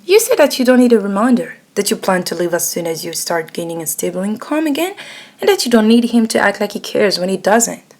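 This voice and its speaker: A woman's voice: mid-range voice, very animated, brisk, darkish tone. A woman narrating a scripted ASMR roleplay story, addressing the listener directly. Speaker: narrator and voice actor.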